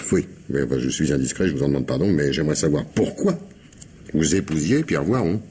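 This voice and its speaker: An actor playing an insistent police commissioner in a radio mystery, gruffly courteous, muttering hesitations before abrupt questions. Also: male voice, a bass voice, expressive, fairly quick, warm tone, slight background noise. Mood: probing. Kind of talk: French radio mystery play acting.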